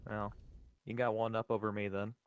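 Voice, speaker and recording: A man's voice: fairly low pitch, flat delivery, normal speed, clean tone, muffled recording. A man co-casting a live stream who makes dry jokes and chats to fill silences. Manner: dry joking, fills silences with chatter. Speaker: co-caster. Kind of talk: casual live-stream commentary.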